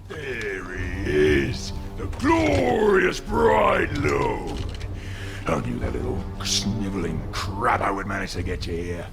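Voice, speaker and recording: An actor voicing a brutish male orc villain in a video game cinematic, gravelly and guttural, with a taunting, gloating menace. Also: low voice, wildly swooping pitch, slow, music in the background, exaggerated voice.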